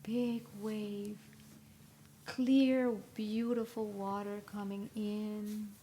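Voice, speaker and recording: A woman leading a live guided meditation in a soothing, instructional way, with long pauses between short phrases. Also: mid-range voice, flat delivery, warm tone. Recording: live recording with clear background noise.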